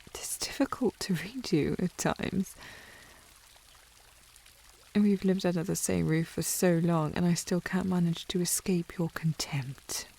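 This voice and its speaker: Casting: actress as prim, snooty young lady of the manor, British accent, fry-edged voice, coy and prickly, intimate period ASMR roleplay. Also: on the low side, measured pace, dark tone. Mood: prickly, wounded. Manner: prim, snooty, formal phrasing with coy indirectness. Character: haughty, flustered young noble lady of the house, coy. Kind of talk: intimate ASMR historical romance audio roleplay.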